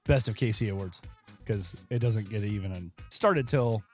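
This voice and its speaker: A man co-hosting a podcast, chatting casually over beers with a hoarse voice, rambling and laughing often. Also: lowish voice, unhurried, darkish tone, soft background music. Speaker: podcast co-host.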